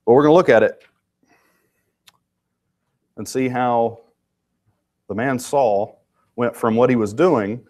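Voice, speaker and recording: A man's voice: mid-range voice, slow pace, pauses often, darkish tone. A man with a congested voice teaching a church Bible class in a loose, conversational way. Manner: informal, with restarts and asides to the class.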